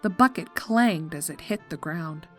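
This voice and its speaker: A woman narrating scripted fiction in an expressive, measured, drily comic storytelling style. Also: fairly low pitch. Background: music.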